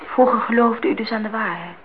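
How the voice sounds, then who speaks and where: A woman's voice: fairly high pitch, brisk, natural voice. Actress as earnest young girl, noisy old recording. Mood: defiant, curious. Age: young adult. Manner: short, probing questions. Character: earnest, questioning eighteen-year-old girl. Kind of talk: Dutch radio play acting. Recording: dull, noisy old radio play recording.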